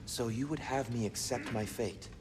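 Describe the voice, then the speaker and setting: An actor playing a gentle, defeated, self-doubting god in a video game, sombre and formal in speech. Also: male voice, mid-range voice, normal speed, noisy background, natural voice. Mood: weary, doubtful. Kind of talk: video game drama acting.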